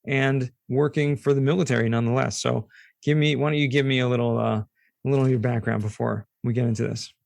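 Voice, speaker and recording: A man hosts a podcast in a slightly hoarse voice with a creaky edge. His pitch is mid-range and he talks conversationally, thinking out loud. The sound is dry and close. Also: steady tone, fast, dry recording. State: a little hoarse. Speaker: podcast host.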